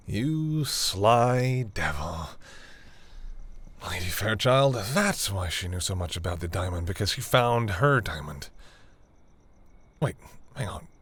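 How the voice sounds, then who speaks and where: A man's voice: lowish voice, fairly bright tone, husky, exaggerated voice. A man playing a proud but secretly tender vampire lord in an intimate, theatrical audio roleplay. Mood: flustered, incredulous. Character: proud male vampire lord, flustered, secretly tender toward human lover.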